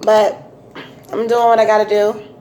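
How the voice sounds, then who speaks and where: A woman with a raspy voice giving a casual, chatty tarot reading, full of fillers and restarts. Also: mid-range voice, flat delivery, unhurried, mellow, slight background noise. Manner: chatty, repetitive, many um fillers. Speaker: tarot reader.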